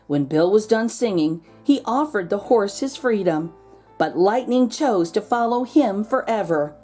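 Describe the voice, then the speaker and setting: A woman reading a children's picture book aloud as host, expressive, drawing out words for drama. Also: medium pitch, unhurried, faint music behind. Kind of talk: animated storybook read-aloud for children.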